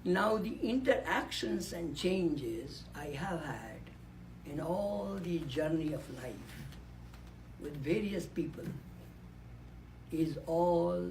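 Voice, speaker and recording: A man's voice: on the high side, darkish tone, slight background noise, poor audio. A man, giving a reflective speech on a conference panel into a microphone and repeating key words for emphasis. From Nepal. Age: 80s. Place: conference room. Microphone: handheld or podium microphone.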